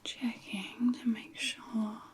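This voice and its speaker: A soft-spoken, breathy woman who half-whispers as she gives gentle, hesitant clinical instructions in an ASMR physiotherapy roleplay. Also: fairly high pitch, steady tone, very slow. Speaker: ASMR performer playing a physiotherapist.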